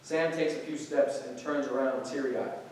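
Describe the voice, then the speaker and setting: A man's voice: medium pitch, moderate pace, darkish tone, distant mic. Male narrator for a staged reading, scripted, heard from afar with faint noise and echo. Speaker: stage directions reader. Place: large echoey indoor room.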